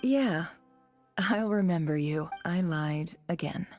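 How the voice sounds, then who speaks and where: A scheming young female envoy in a dramatic game scene. Her voice is fairly low and mellow, smooth and composed, with a condescending edge. Faint music plays behind her. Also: takes it slowly. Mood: dismissive, secretive. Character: cunning young female envoy, polished and secretly scornful. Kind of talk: dramatic video game voice acting.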